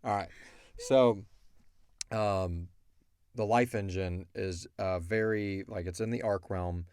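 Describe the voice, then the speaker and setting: A man's voice: on the low side, steady tone, slow, darkish tone, clean tone, dry recording. A man chatting casually as a podcast co-host, rambling and informal as he explains things. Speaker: podcast co-host and audio drama creator.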